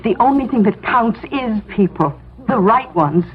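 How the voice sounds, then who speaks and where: An American woman acting a devoted, worried, no-nonsense wife in an emotive 1970s radio drama style, tender yet brisk. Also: on the high side, lively intonation, a little hiss or hum, lightly acted. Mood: tender, firm. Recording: tinny radio broadcast with music.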